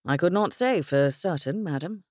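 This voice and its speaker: A woman voicing a polite young English gentleman working as a ranch hand, with formal, courteous speech. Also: lowish voice, animated, normal speed, dry recording, studio quality.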